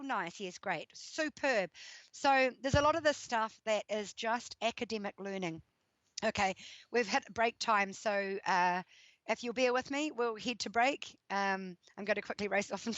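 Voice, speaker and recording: A woman's voice: fairly high pitch, a bit bright, no room sound, dull audio. A woman hosting an internet radio show, chatting casually and thinking aloud as she reads listener comments and laughs often. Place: at home.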